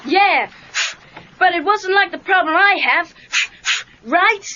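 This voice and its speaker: A woman's voice: extremely high pitch, takes it slowly, pushed and bright, heavily acted. A woman voicing an eager, questioning teenage boy karate pupil in a read-along cassette drama, earnest and pressing. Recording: dull cassette tape sound.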